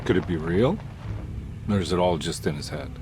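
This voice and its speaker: An actor playing a wry, unflappable private investigator in a cinematic video game, casually polite. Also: male voice, low voice, expressive, normal speed, a little mellow, a little hiss or hum. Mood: probing, dry.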